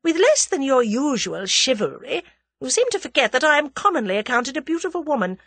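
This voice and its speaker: A woman narrator performs a worldly, haughty female schemer from a storybook. Her voice sits high and swings widely in pitch, airy and coolly amused. She taunts with scornful confidence in a dry, close recording. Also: big pitch swings, measured pace, a bit bright, no room sound, full character voice. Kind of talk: dramatised audiobook character dialogue.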